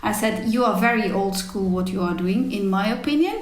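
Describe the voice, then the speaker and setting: In a roomy, echoing space, a woman. Her pitch is medium, and her comes out in halting but emphatic stretches, like an interview guest recounting a career. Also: animated. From former Soviet Union. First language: not English. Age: 40s. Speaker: podcast guest, cycling team executive. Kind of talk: conversational interview storytelling. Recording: roomy, echoey sound.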